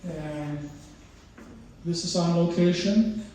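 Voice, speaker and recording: An older man, the exhibiting artist, giving an informal, halting talk about his work with many pauses and restarts. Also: on the high side, fairly even intonation, mellow. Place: art gallery, indoors. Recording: very echoey room, voice far from mic, faint noise.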